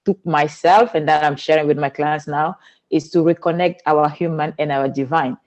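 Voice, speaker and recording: A woman's voice: low-pitched, fairly even intonation, moderate pace, darkish tone. Cameroonian-accented woman, earnest and flowing. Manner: earnest, flowing, non-native phrasing. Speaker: guest, spiritual teacher. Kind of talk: podcast interview.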